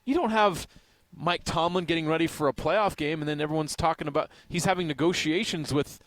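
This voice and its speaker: A man's voice: a little high, fairly quick, a bit bright, no room sound. An American man co-hosting a casual sports radio show, giving his opinions bluntly.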